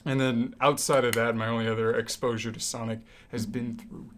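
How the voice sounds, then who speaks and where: A man's voice: medium pitch, level tone, brisk, a little hiss or hum. A young man co-hosting a podcast in casual, wry banter with a mock-dramatic streak. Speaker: podcast co-host.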